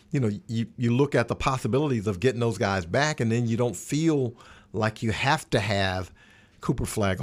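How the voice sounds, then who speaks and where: Male sports analyst, medium pitch, conversational, plain-spoken, restates his points. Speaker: sports radio analyst on a roundtable.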